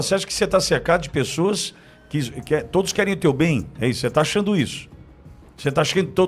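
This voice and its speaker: A man with a Brazilian accent hosting a political talk show, commenting in a sarcastic, mocking way full of rhetorical questions. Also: fairly high pitch. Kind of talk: opinionated political commentary, conversational.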